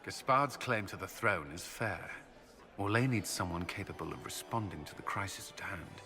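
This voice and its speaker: An actor playing a stern but socially awkward military commander in a video game, speaking in a clipped, earnest way. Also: male voice, low voice, lively intonation, moderate pace. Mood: businesslike. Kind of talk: video game dialogue acting. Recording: clear music behind the voice.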